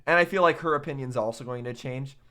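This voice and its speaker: A man's voice: mid-range voice, fast, sharp tone. A man giving casual, off-the-cuff reaction commentary as host, thinking aloud in a rambling way and repeating himself.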